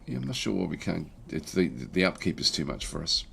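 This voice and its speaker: A man with a croaky, recovering voice giving casual, halting livestream commentary as he plays a strategy game and chats with viewers. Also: low-pitched, big pitch swings, a bit bright, slight background noise. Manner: halting, thinking aloud. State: croaky throat, recovering from feeling unwell. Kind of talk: casual game commentary, reading and answering chat.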